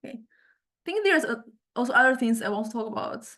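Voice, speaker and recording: A woman with a somewhat high-pitched voice gives an informal, hands-on lecture. Her delivery is halting and hesitant, with words often repeated or restarted as she works through each step of the explanation. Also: animated, sharp tone. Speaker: music technology lecturer. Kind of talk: informal software demonstration lecture.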